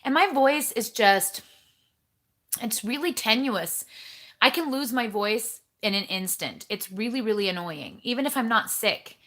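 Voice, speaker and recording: A woman's voice: fairly high pitch, expressive, a little slow, fairly bright tone. Bubbly chatty woman livestream host. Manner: chatty, bubbly, reads out viewer names. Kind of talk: casual livestream chat. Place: home kitchen.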